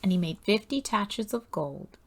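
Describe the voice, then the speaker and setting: A woman hosting a devotional scripture reading, speaking in a measured, reverent and solemn manner. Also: mid-range voice, animated, creaky. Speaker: host reading scripture aloud.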